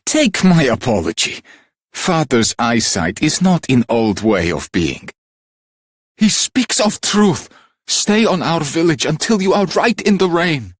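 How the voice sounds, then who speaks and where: An earnest game villager with a husky voice. His pitch swings very widely as he speaks slowly in formal, broken phrases. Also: dry recording, studio quality, heavily acted. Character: earnest village man speaking broken, foreign-styled English.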